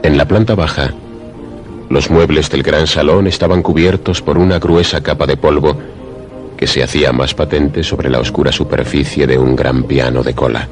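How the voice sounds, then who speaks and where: A man narrating a suspense radio drama in a measured, literary storytelling manner. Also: rumbling low, steady tone. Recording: prominent music behind the voice. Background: music.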